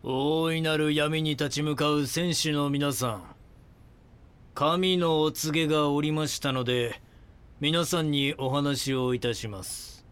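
An actor playing a grandiose, coldly fanatical cult leader who preaches solemnly and politely in an audio drama. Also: male voice, fairly high pitch, fairly even intonation, takes it slowly, fairly bright tone, smooth. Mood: grandiose, prophetic. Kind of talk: Japanese audio drama acting.

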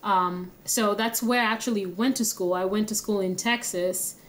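A young woman, a guest telling her story in an interview. Her voice sits at a middling pitch for a woman. She speaks in a friendly, steady way and laughs easily. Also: normal speed, noisy background. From Kenya. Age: young adult. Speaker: guest, app founder.

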